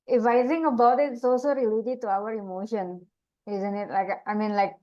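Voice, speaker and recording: A woman's voice: fairly high pitch, steady tone, moderate pace, mellow, highs cut off. A woman in casual conversation, asking her coach questions as a learner and hesitantly searching for words.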